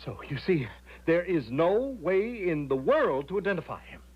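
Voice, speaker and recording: An actor playing a fussy, flustered, by-the-book legation secretary who stammers and repeats himself in a radio drama. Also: male voice, fairly high pitch, sing-song, moderate pace, breathy edge. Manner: stammering, repetitive, dithering, officious. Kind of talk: 1970s radio drama acting. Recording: dull lo-fi old radio broadcast.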